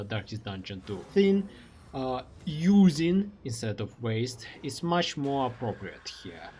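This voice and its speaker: A young man in his twenties, a native Russian speaker with a Russian accent, reading a prepared reflective monologue as a streamer. He speaks carefully and halts often with "uh" fillers. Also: medium pitch. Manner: careful, halting delivery with frequent uh fillers. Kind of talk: reflective read-aloud monologue.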